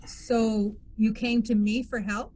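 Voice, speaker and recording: A woman's voice: a little high, flat delivery, slow, mellow, a little hiss or hum, poor audio. A woman playing a dry, guarded military legal officer in a science fiction table read. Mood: awkward, regretful.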